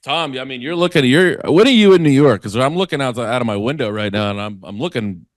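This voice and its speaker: A man's voice: medium pitch, expressive, fast talker, no echo. A man co-hosting a comedy podcast, wry and mock-indignant as he jokes with his friend. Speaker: podcast co-host. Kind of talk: casual comedic banter.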